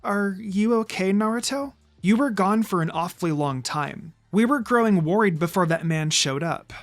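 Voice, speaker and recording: A narrator voicing a gentle, worried teenage ninja girl with a soft, hesitant delivery in a story reading. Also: mid-range voice, lively intonation, normal speed, faint music behind, close to an everyday voice. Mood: concerned.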